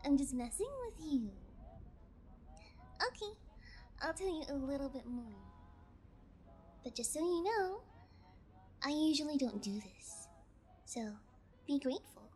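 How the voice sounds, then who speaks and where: A woman's voice: sits high, lively intonation, measured pace, pauses often, darkish tone, a little hiss or hum. A woman playing a sadistic, playful female kidnapper in an intimate ASMR audio roleplay, teasing with a sing-song menace. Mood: playful, condescending.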